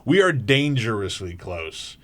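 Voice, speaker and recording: A man hosting a talk radio show in a casual, energetic way, joking and laughing often as he interviews a guest. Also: on the low side, measured pace. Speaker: fill-in radio show host.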